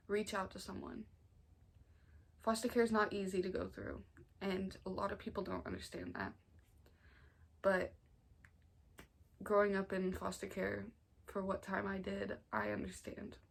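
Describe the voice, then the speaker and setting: A young American woman of about nineteen, talking informally and earnestly in a halting way, as in a personal storytime vlog. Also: medium pitch, gravelly. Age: 19, nearly 20. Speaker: family vlogger. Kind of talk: personal storytime vlog, casual confessional talk.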